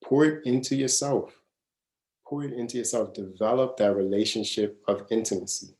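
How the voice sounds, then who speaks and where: A man's voice: medium pitch, fairly even intonation, moderate pace, darkish tone. A man in his thirties giving a live wellness masterclass, talking casually and earnestly and repeating phrases for stress. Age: 30s. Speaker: wellness coach. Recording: echoey room.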